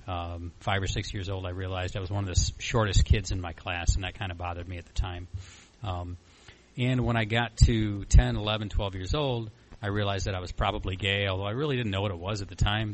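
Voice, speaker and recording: A man's voice: low-pitched, steady tone, fairly quick, hardly any pauses, slight background noise, rough recording. A man in his mid-forties giving informal personal testimony in a candid, self-deprecating, rambling storytelling way. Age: mid-40s. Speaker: recovery meeting speaker sharing a personal story.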